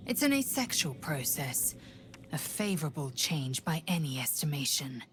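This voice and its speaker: A woman's voice: low-pitched, very animated, moderate pace, a little hiss or hum. An actress playing a proud, disdainful alien warrior woman in a video game, speaking in formal, haughty declarations. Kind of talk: video game character acting.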